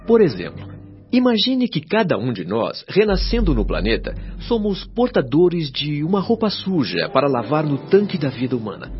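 An actor with a Brazilian accent playing a patient, learned spirit guide in a radio drama, speaking formally and instructively. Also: male voice, on the high side, huge pitch swings, moderate pace. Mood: earnest, instructive. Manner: solemn, articulate, instructive, formal diction. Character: male spirit guide Lísias, patient, earnest, learned teacher. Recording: dull lo-fi sound, faint music behind.

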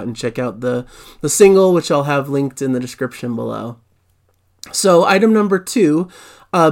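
Easygoing male music-news host. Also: mid-range voice. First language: not Italian. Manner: easygoing, many fillers and restarts. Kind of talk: casual news recap.

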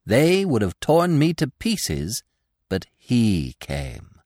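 A proud, naive young deer played by a man from a storybook. He talks in a superior, self-satisfied way, raised in pitch and unhurried, with lively swings of tone. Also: no echo, clean studio sound, full character voice. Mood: awed, dramatic. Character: boastful, naive young male deer, proudly telling wonders. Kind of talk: storybook character voice.

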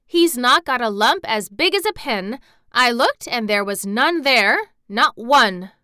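Woman as a high, obstinate young girl. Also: expressive, unhurried, pushed and bright, clear, resonant tone, no echo, studio quality. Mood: indignant. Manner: insistent, protesting. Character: obstinate, fiercely loyal young girl, quick to protest.

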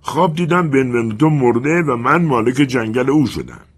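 A man's voice: mid-range voice, lively intonation, slightly rough, voice pushed up. A man doing a bitter, greedy old colonel. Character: bitter, greedy middle-aged male colonel.

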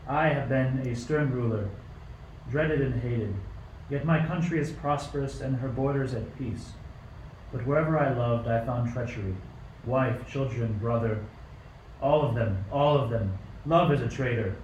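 A man's voice: mid-range voice, unhurried, darkish tone, natural voice. An amateur actor playing a stern, suspicious tyrant king in a radio play, with an imperious, declamatory delivery. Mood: bitter. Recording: roomy, distant mic, faint noise.

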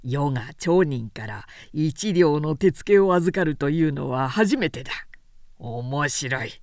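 A woman's voice: low-pitched, expressive, a little slow, a little mellow, no room sound, clean studio sound, pitched below the performer's own voice. A woman voicing a genial, amused older feudal lord who speaks in a stately, commanding way. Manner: stately, laughing, lordly speech.

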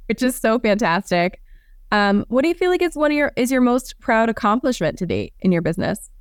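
Cheery woman host, smooth clear voice, dry close mic. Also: on the high side, big pitch swings, fairly quick, no echo. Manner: upbeat, encouraging, agrees readily. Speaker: podcast host. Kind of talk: casual interview.

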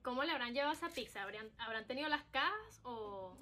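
She is a young Venezuelan woman with a very bright, fairly high voice. She co-hosts with an informal, playful touch, in the manner of a casual chat. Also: lively intonation, fast talker. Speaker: podcast co-host.

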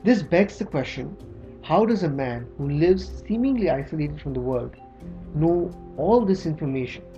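A man narrating a scripted history documentary in a measured, read-aloud way. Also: on the high side, expressive, dark tone. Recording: slightly dull audio with music underneath.

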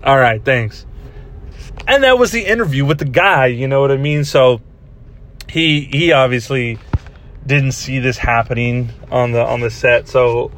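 A man's voice: mid-range voice, measured pace, a bit bright, a little hiss or hum. A man hosting a solo podcast, ranting casually and profanely, rambling while he acts out his own inner dialogue. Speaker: podcast host.

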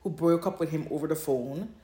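Female podcast co-host, notably low register, casual storytelling chat, roomy echoing sound. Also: brisk, mellow. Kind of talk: casual chat summarizing fan fiction. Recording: echoey room.

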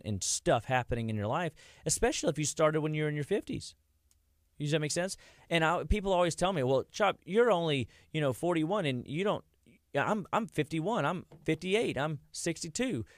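A man's voice: a little high, no room sound, studio quality. A man, giving a rambling, folksy talk about health as a wellness clinic host. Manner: rambling, folksy, loses his train of thought.

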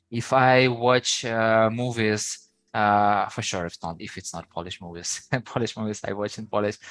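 A man's voice: lowish voice, fairly bright tone, dry recording. A young man with a Ukrainian accent, chatting casually as a podcast guest, wandering through his answers with many fillers and restarts. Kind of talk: casual interview chat.

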